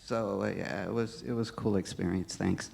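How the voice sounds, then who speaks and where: A man with a low voice sharing his experience at a church service in a casual, relaxed way. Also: normal speed, vocal fry. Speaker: congregation member.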